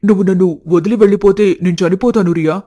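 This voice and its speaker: Man acting as a mellow lovestruck young man. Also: high voice, steady tone, fast, no echo. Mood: hurt, pleading. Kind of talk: scripted cartoon story dialogue.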